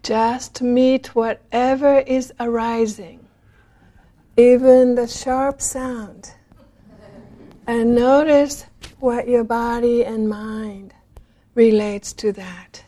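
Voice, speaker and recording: A woman leading a guided meditation in a gentle, unhurried manner, leaving long pauses between phrases. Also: fairly high pitch, little pitch movement. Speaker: meditation teacher.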